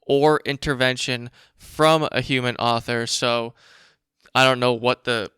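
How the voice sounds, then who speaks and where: Guy hosting a commentary show, super clear bright voice w a bit of rasp, sweary and casual, dry close sound. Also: medium pitch, fairly even intonation, measured pace, no room sound. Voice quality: raspy. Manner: blunt, profane, informal. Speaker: show host. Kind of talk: casual commentary, reading documents aloud.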